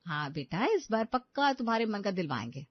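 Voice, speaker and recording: A woman's voice: medium pitch, sing-song, a little fast, no echo, lo-fi sound. A woman voicing a doting, indulgent middle-aged mother and housewife in a cartoon family story.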